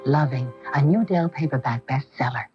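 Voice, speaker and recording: A woman's voice: very deep voice, moderate pace. A woman announcer reading a scripted radio advertisement for a book. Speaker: commercial announcer. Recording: dull AM radio, loud music behind.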